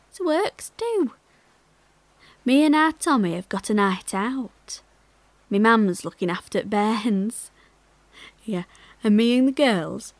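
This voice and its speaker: An actress playing a cheerful, gossipy young working-class millworker from Yorkshire, chatting confidingly in a monologue. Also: female voice, on the high side, no room sound. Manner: colloquial, confiding, animated. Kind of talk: dramatic monologue, chatty and conversational.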